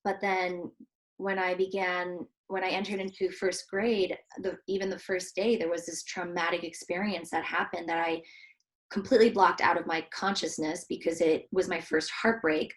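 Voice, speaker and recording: A woman from Switzerland hosts a casual interview as a heart coach. She talks earnestly and reflectively, with many soft fillers. Her voice sits a little low. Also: fairly even intonation, moderate pace, low-quality recording.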